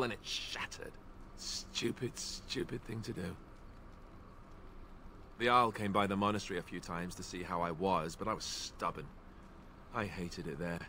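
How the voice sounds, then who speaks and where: A man's voice: fairly low pitch, a bit bright. A man voicing a wry, self-deprecating young warrior in a video game, talking in a rambling, joking manner. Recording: faint background noise.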